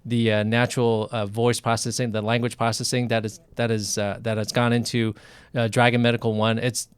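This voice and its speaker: A man's voice: medium pitch, little pitch movement, brisk, clean tone, no echo. A man hosting a casual live video interview, talking enthusiastically with many restarts and fillers. English is not his first language.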